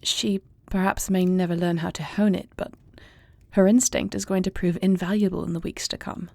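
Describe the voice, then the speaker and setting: A thoughtful warrior princess played by a woman speaks with formal, eloquent care in a fantasy audio drama. Her voice is mellow and slightly low, with a creaky edge. She sounds earnest and devoted, as if confiding in her spouse. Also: steady tone, no echo. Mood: tender, thoughtful. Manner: formal, measured, eloquent diction. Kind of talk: intimate fantasy audio roleplay acting.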